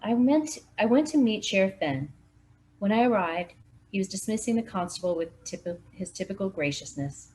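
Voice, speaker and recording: A woman volunteer reading a mystery novel aloud in steady first-person narration. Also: mid-range voice, normal speed, slight background noise. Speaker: volunteer reader.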